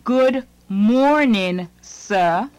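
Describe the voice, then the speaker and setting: Female lesson narrator, very slow, measured, dry studio sound. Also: fairly high pitch, lively intonation, darkish tone, smooth, dry recording. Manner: slow, deliberate, phrase by phrase. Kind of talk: scripted lesson reading.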